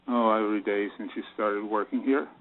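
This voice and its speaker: A man's voice: lowish voice, fairly even intonation, moderate pace, lightly acted. A man playing a nervous, evasive bank boss who stammers in an audio drama, with narrow phone-like sound. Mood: flustered, evasive. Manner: hesitant, stammering.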